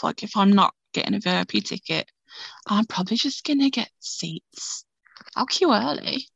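A woman's voice: on the low side, creaky, a bit bright, dry recording. A woman in her thirties, co-hosting a podcast in a chatty, laughing casual talk full of "like". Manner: chatty, says "like" a lot, laughs often. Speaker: podcast co-host. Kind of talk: casual chat. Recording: dull lo-fi audio, dry.

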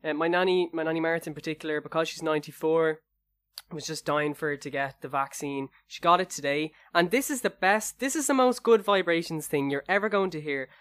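A young Irish man co-hosting a podcast, telling anecdotes in an upbeat, excitable way during casual chat. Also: a little high, fairly quick, no echo. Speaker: podcast co-host.

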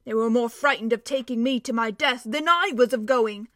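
A woman voicing a cheerful, stocky male dwarf with a hearty, emphatic, drawn-out way of talking. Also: fairly high pitch, a bit bright, no echo, clean studio sound, lightly acted.

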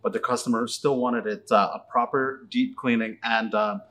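A man's voice: medium pitch, fairly even intonation, moderate pace, fairly bright tone. Canadian man chatting casually, enthusiastic. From Toronto, Canada. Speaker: interview guest, auto detailing business owner. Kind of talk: casual interview chat.

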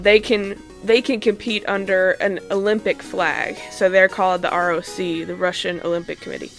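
A woman's voice: mid-range voice, fairly bright tone. A woman co-hosting a podcast, giving a loose, ad-libbed recap of the news in a conversational way. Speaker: podcast co-host reading news segment.

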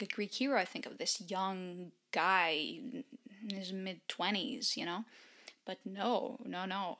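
A woman's voice: medium pitch, bright, forceful tone. A woman giving casual solo film commentary in an enthusiastic, emphatic and informal, chatty way. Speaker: film reviewer.

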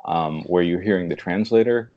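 A man speaking as a literary translator in a conversational interview, thoughtful and halting in a measured way. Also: low-pitched, muffled recording. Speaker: podcast guest, literary translator.

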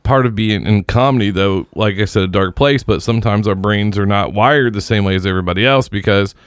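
A man's voice: lowish voice, fairly quick, clean tone, dry recording. A man in his forties on a casual podcast, joking and teasing. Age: 40s.

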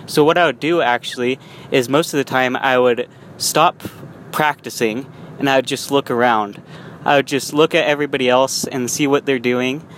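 A man's voice: medium pitch, fairly even intonation, normal speed, a bit bright. A young man talking casually while driving, rambling with frequent restarts and small laughs. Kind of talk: casual vlog monologue. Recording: faint road noise.

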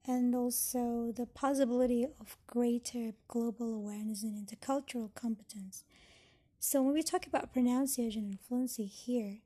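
A woman's voice: on the high side, warm tone. A young woman from Indonesia, a native Indonesian speaker, talking thoughtfully about learning English and reading points from a handout. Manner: thoughtful, explaining, reads from a handout. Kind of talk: educational podcast talk.

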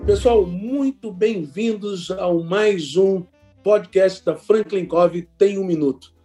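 A Brazilian-accented man hosting an interview, effusive and eager. His voice is high for a man but soft and mellow in tone. Faint music runs behind him. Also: takes it slowly. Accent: Brazilian Portuguese. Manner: enthusiastic, effusive praise. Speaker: podcast host. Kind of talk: conversational interview.

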